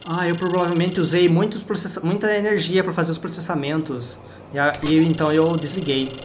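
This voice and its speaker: A man with a Brazilian accent voicing a friendly, anxious game robot that speaks in a halting, pleading way. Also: a little high, a little hiss or hum, natural voice. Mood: worried. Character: friendly male robot, anxious as its system fails. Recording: loud game music behind.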